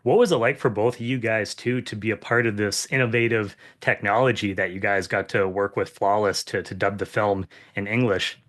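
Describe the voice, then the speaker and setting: Low-voiced male interviewer. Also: steady tone, brisk, hardly any pauses, a bit bright. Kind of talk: casual interview questions.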